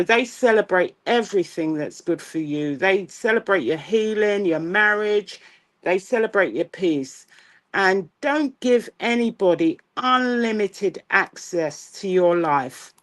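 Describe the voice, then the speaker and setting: A woman co-host delivers advice in a measured, eloquent and encouraging way. Her voice sits at a medium pitch and she keeps a steady, composed tone. She sounds like she is offering wisdom to her audience. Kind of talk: inspirational talk-show monologue.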